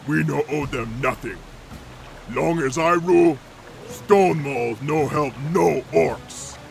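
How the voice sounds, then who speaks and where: A man's voice: on the high side, slow, creaky, soft background music. A man voicing an arrogant, brutish ogre clan chief in a fantasy game, taunting in crude broken grammar. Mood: scornful, boastful.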